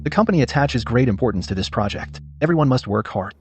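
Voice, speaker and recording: A man's voice: medium pitch, animated, fast talker, darkish tone, natural voice. A man playing a scheming, bullying middle-aged company manager in a melodrama, scornful and blustering. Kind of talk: dubbed melodrama acting.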